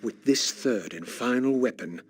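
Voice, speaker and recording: An actor playing a brooding, aristocratic vampire who narrates his thoughts in a formal, measured, portentous way for a video game. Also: medium pitch, lively intonation, faint music behind, close to an everyday voice. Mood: solemn, brooding. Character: brooding, solemn male vampire, weary and aristocratic. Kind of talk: scripted video game narration, introspective monologue.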